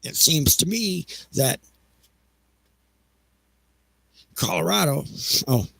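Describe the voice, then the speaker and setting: A man playing a peevish, defensive railroad executive in an audio drama, with whiny, halting, indignant delivery. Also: a little high, animated, gravelly, a bit bright, husky, no room sound, pitched above the performer's own voice, full character voice, rough recording.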